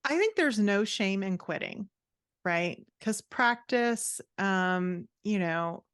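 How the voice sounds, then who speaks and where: A woman's voice: mid-range voice, animated, slow, a bit bright, clean tone, no echo, studio quality. A woman writing coach talking conversationally as an interview guest, giving blunt, emphatic advice and acting out quotes as she goes.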